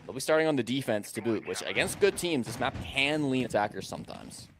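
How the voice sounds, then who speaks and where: A man with a Canadian accent calls an esports match in an upbeat, easygoing way. His voice sits at an ordinary middle pitch for a man, and he mixes match analysis with friendly banter. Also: expressive, a little fast, a little hiss or hum. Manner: upbeat, good-humored analysis. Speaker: esports caster. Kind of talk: live esports commentary.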